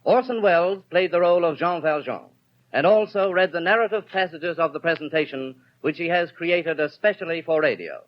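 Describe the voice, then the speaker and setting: A man's voice: a little high, a little fast. A man reading a scripted announcement in the formal style of a 1930s radio announcer. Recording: dull 1930s radio recording.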